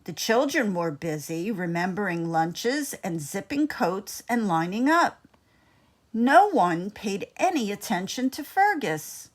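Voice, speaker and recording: A woman reading a children's story aloud in an expressive, deliberate narrating style. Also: mid-range voice. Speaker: storybook reader.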